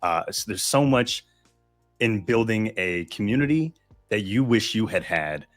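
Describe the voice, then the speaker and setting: A man hosting an online event, summing up a guest's points with steady affirmations and many fillers over a video call. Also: on the low side, a little slow, dry recording, faint music behind. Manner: summarizing, affirming, filler-heavy. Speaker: event host. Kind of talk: interview hosting.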